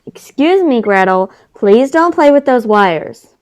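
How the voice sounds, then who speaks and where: A woman's voice: fairly high pitch, lively intonation, unhurried, a little mellow. A woman playing an earnest, probing storybook character in a readers theater reading, speaking plainly.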